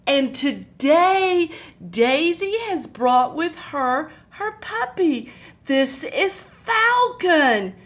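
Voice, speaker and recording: A woman hosting a children's story time, reading a Bible story aloud in a gentle, sing-song way. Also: high voice, big pitch swings, very slow.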